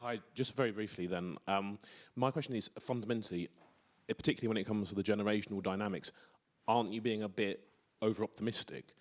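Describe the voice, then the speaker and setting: Fast-talking man asking an audience question. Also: fairly low pitch, fairly even intonation. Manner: rapid, urgent, rambling with restarts.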